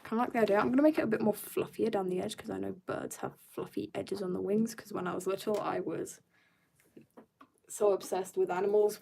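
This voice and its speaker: Young woman, art streamer, casual chat, rambling, self-mocking, quiet mutters while concentrating. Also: mid-range voice, creaky, slight background noise.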